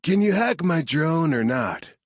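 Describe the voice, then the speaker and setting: A man's voice: a little high, sing-song, measured pace, no room sound, pitched above the performer's own voice. A man doing a frustrated young tech guy. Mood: frustrated, urgent.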